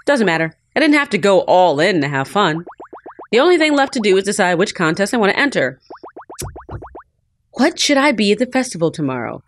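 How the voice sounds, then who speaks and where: A woman's voice: medium pitch, a little hiss or hum, lightly acted. A woman voicing a cute, dreamy young mermaid girl in a visual novel, chirpy and girlish. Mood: breezy, determined.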